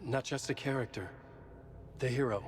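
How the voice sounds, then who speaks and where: A man's voice: mid-range voice, normal speed, natural voice. Man acting a troubled, brooding writer in a horror game. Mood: intent. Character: troubled, guilt-ridden male writer trapped in a horror story. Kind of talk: dramatic video game acting. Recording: clearly noisy, ambience behind the voice.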